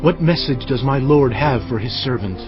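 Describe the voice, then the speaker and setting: An actor playing an earnest, authoritative ancient Israelite leader in a dramatized audio Bible, declaiming firmly. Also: male voice, medium pitch, lively intonation, moderate pace, close to an everyday voice. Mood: questioning. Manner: declamatory, firm. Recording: dull audio, faint music underneath.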